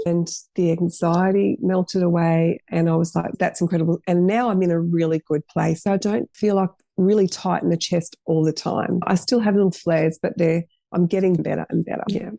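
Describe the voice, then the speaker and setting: A woman's voice: on the low side, vocal fry, warm tone, dry recording. A middle-aged woman, a podcast guest telling her story in an earnest, rambling way with many restarts. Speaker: guest, cafe owner. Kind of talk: casual interview chat.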